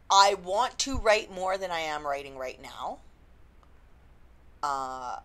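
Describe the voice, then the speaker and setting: Woman, slightly husky, lowish voice, rambling vlog chat, frequent ums, talking to camera. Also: fairly bright tone. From Canada. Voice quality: slightly husky after illness. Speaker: indie romance author.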